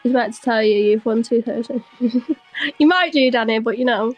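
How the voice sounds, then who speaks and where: A young woman with a Manchester accent hosting a live stream, chatting casually in a relaxed, amused way. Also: a little high, moderate pace, faint music behind. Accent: Manchester English. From Manchester, England. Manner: relaxed, amused, often laughs.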